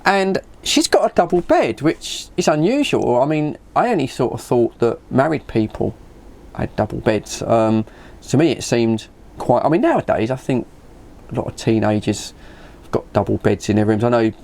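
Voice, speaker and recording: A man in his fifties with an English accent, telling a casual anecdote in a stammering, animated way as a vlog host. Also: medium pitch, moderate pace, creaky. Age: 50s. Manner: animated, stammering, acts out dialogue.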